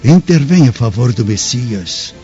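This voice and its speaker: A man's voice: mid-range voice, big pitch swings, measured pace, mellow. An actor playing a humble, imploring old Samaritan man in a Brazilian radio drama, with a Brazilian Portuguese accent. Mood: pleading. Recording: dull lo-fi radio drama with music.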